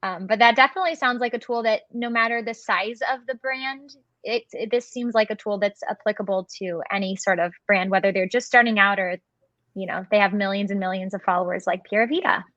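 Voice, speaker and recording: A woman's voice: mid-range voice, a little fast, fairly bright tone, no room sound, highs cut off. A woman hosting a webinar interview, upbeat and affirming as she asks questions over a video call. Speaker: webinar host.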